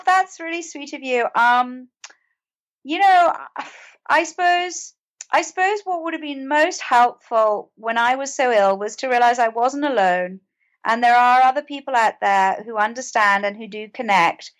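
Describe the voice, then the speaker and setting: A woman's voice: sits high, a little slow. A woman with a British accent speaking as an interview guest, earnest and conversational, often repeating words as she goes. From United Kingdom. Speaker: podcast guest, author and mental health advocate.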